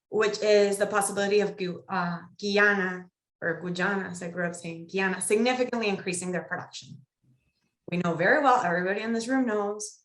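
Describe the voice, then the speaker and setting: A woman with a somewhat low voice speaks as a panelist, laying out her points in a measured, analytical way. Her voice carries a roomy echo. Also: a bit bright. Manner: measured, analytical, thinks aloud. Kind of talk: academic panel discussion. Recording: echoey room.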